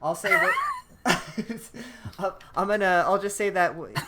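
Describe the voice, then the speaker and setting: A man with a high voice hosting a casual podcast livestream, loose and laughing, swearing now and then. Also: animated, slow pace, very noisy. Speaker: podcast host.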